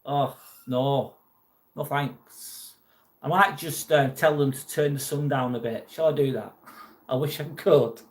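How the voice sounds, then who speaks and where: A man's voice: medium pitch, fairly even intonation, unhurried. An English man hosting a live stream, chatting casually, reading out viewer comments and joking about a troll. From England. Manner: chatty and jokey, mildly irritated by a troll.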